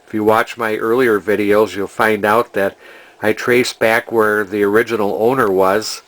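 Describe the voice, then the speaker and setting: A man's voice: on the low side, steady tone, normal speed, fairly bright tone, poor audio. An older man, casually narrating a repair as a hobbyist, rambling and hesitating as he thinks aloud. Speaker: hobbyist vintage TV restorer.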